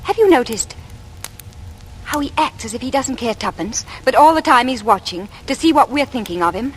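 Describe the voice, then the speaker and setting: A woman's voice: fairly high pitch, expressive, moderate pace, lightly acted. An actress in a vintage radio drama playing a suspicious, probing Englishwoman with an intense, clipped manner. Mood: urgent suspicion. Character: earnest, suspicious, probing bespectacled young Englishwoman. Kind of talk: 1940s radio acting. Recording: noisy vintage radio recording.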